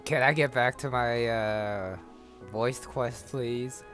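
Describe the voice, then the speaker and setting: A man's voice: mid-range voice, slow pace, fairly bright tone, faint music behind. Man muttering wry gameplay asides. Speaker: gaming channel host. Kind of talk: casual let's-play commentary.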